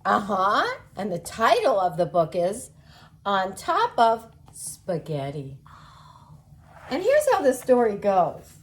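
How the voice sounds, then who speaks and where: A woman's voice: medium pitch, big pitch swings, slow pace. A woman co-hosting children's story time, talking and singing playfully for toddlers. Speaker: children's show co-host and story reader.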